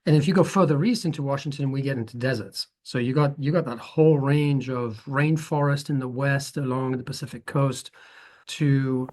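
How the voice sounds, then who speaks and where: A man's voice: mid-range voice, fairly even intonation, moderate pace, a little mellow. Thoughtful middle-aged Dutch-born man. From Netherlands. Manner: rambling, thoughtful, with frequent restarts. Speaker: guest photographer. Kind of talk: conversational interview.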